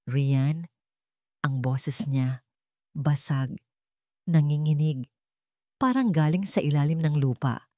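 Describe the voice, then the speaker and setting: A woman's voice: sits low, slow, long pauses, dark tone, no echo, clean studio sound. A woman narrating a scripted first-person story in a dramatic style. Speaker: audiobook narrator.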